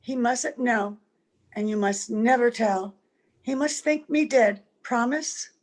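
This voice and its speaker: A woman's voice: fairly high pitch, slow, darkish tone. A woman playing an anguished wife and mother who can never age, earnest and pleading, in old-time radio drama style. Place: at home, recorded over a video call.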